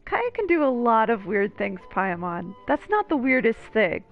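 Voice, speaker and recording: A woman's voice: fairly high pitch, sing-song, normal speed, narrow, tinny audio. A woman streamer giving casual, joking commentary as she plays a game. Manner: joking, chatty. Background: game music.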